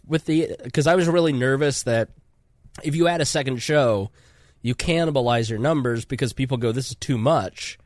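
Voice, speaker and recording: A man's voice: medium pitch, normal speed, dry recording, clean studio sound. Congested man hosting a podcast. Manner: rambling, self-interrupting, chatty. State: congested from a cold. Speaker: podcast host. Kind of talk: casual podcast chat.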